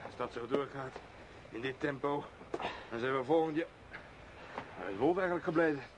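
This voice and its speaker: An actor playing a blunt, stubborn but loyal adventurer in a radio play, speaking emphatically. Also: male voice, mid-range voice, moderate pace, natural voice. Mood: weary. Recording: noisy radio play with ambience.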